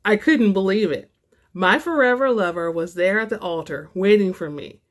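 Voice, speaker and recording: A woman's voice: medium pitch, moderate pace. A woman hosting a book channel, moving between casual chat to viewers and dramatic reading aloud.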